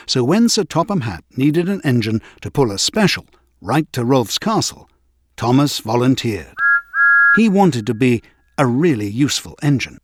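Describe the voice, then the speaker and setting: A man narrating a scripted children's story in an expressive, measured storytelling manner. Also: medium pitch, a little hiss or hum.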